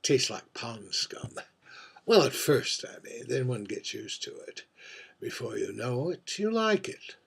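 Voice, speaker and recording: A man playing an aging drag queen in a theatrical monologue, camp, wry and musing, with a husky voice and sweet little endearments. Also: medium pitch, very animated, a little slow, heavily acted. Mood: wistful, theatrical. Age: older.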